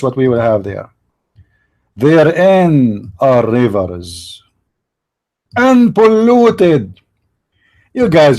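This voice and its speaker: A man's voice: medium pitch, a little mellow. A middle-aged man, hosting a live stream and making sarcastic, joking commentary while talking to his chat. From Middle East. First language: Arabic.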